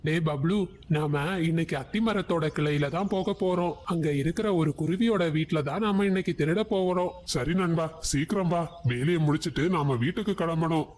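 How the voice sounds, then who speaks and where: A sly male crow burglar from a cartoon, pushing his partner to hurry, as a man acts him out. The voice is high for a man. A faint hiss sits behind it. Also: fairly quick, darkish tone, natural voice. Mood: plotting. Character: scheming male thief crow.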